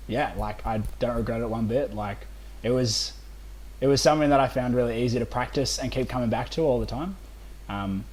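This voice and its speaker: A man's voice: mid-range voice, darkish tone, rough recording. Casual Australian man in his twenties. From Melbourne, Australia. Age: 20s. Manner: informal, conversational. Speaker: band vocalist, podcast guest. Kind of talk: casual chat.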